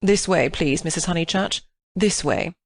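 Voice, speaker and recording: A stiff young gentleman giving curt, clipped orders, as a woman reader plays him. The storybook gent sounds aloof and in command. The voice is low and comes across close and dry. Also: moderate pace, no echo.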